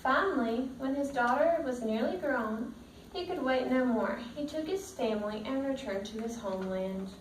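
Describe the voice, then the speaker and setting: Female teacher, storybook read-aloud, higher-pitched voice, roomy sound with faint noise. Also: moderate pace. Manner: checks understanding with simple questions. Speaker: teacher reading a picture book to children. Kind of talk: read-aloud with questions to young pupils. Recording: roomy, distant mic, faint background noise.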